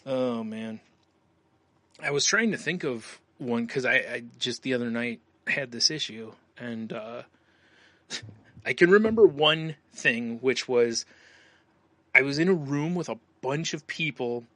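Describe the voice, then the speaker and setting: Bright-voiced rambling man on a podcast. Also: mid-range voice, expressive, unhurried, no echo. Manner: rambling, laughs often, trails off. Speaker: podcast co-host. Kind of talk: casual chat.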